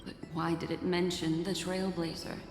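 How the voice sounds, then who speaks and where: A woman's voice: lowish voice, little pitch movement, normal speed, lightly acted. An actress playing a serious, analytical female companion in a video game, composed and questioning. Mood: pensive, questioning. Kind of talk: video game story voice acting. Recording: echoey hall reverb under clear music.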